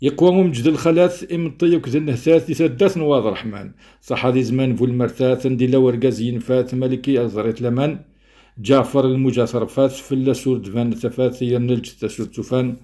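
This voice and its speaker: A man's voice: medium pitch, fairly even intonation, fast, dark tone. A man with a Kabyle accent solemnly reciting a poem of mourning in rhythmic verse. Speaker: amateur poet. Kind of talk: poetry recitation, elegy.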